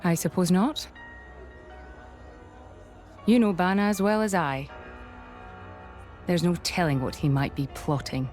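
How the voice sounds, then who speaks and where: A woman's voice: lowish voice, a little slow, long pauses, a little mellow, faint music behind, lightly acted. A woman playing a dutiful, composed military commander in a video game, speaking formally and with measure.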